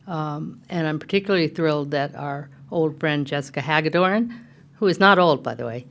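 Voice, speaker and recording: Low-voiced woman with creaky fry, welcoming an audience. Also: normal speed. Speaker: event host giving welcome introduction. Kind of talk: informal spoken welcome to an audience. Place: public event venue.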